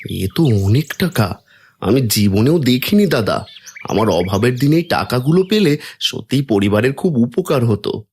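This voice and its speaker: A man's voice: medium pitch, big pitch swings, normal speed, a little mellow, a little hiss or hum. A man playing a humble, honest poor farmer and father in a cartoon story, speaking with pleading sincerity. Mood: humble, sincere.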